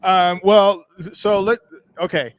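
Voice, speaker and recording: A man's voice: high-pitched, steady tone, slow, clear, resonant tone. A man hosting a battle rap stream, upbeat, plugging channels and asking his guest questions. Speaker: host. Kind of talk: livestream podcast hosting.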